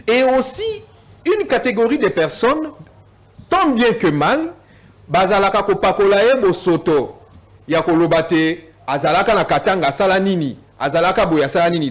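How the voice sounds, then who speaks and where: Congolese guy on a tv debate, high voice swinging all over, mixes french and lingala, stumbles a lot. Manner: mixes French and Lingala, repeats words while searching. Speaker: debate guest. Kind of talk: heated TV political debate.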